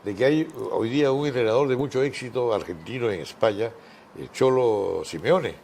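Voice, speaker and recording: A man hosting an informal interview, chatty and quick to agree. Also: mid-range voice, darkish tone, a little hiss or hum. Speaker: interview host.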